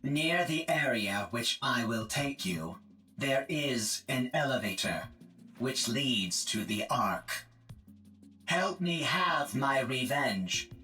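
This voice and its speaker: An actor playing a calm, emotionless male combat support robot who speaks in flat, precise, formal phrases. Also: mid-range voice.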